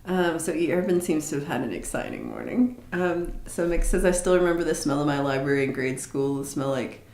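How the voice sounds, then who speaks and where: A woman hosting a casual livestream chat, rambling with many fillers and restarts, with a low voice. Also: big pitch swings, fairly quick, hardly any pauses, darkish tone, roomy sound. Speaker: livestream host. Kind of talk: casual live chat reading comments.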